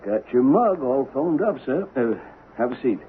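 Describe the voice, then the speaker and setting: A man's voice: medium pitch, very animated, normal speed, narrow, tinny audio, close to an everyday voice. A chatty, gossiping frontier barber played by a man with an American accent and a mid-range voice. He is folksy and deferential, in a thin vintage radio drama recording with faint noise. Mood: gossipy. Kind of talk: 1970s radio drama acting.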